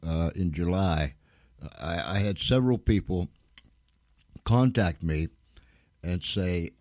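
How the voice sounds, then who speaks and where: Male radio host, low and mellow, casual, folksy and halting, very dry close sound. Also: no echo. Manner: halting, folksy, repeats himself. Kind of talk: casual unscripted chat to listeners.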